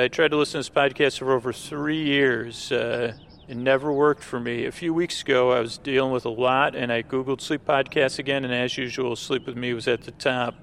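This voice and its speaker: A man hosting a sleep podcast in a creaky voice, rambling along in a low-key, hesitant, droning monologue. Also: a bit bright. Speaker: podcast host.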